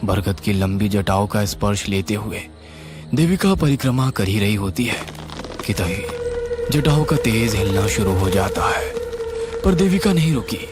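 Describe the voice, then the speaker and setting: A man tells a scripted horror story with suspense and drama. His voice is on the low side and swings expressively in pitch. Music plays clearly behind him. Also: big pitch swings, measured pace. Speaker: storyteller, narrator.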